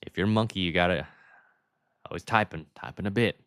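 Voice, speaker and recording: A man with a fairly low voice, recorded dry and close. He commentates a card game in a casual, conversational way, wondering aloud about what comes next. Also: a little fast, a bit bright, smooth, no echo. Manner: conversational, speculating aloud with rhetorical questions. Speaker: match commentator.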